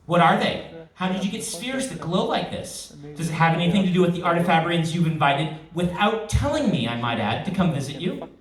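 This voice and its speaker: A man's voice: fairly high pitch, big pitch swings, a little fast. A man reading the part of an indignant queen who questions her husband insistently and with sarcasm. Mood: suspicious, probing. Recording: echoey event room, distant microphone.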